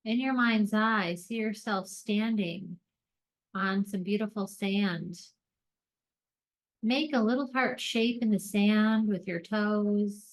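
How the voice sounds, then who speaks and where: A woman leading a guided meditation, speaking gently in deliberate short phrases with long pauses between them. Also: medium pitch.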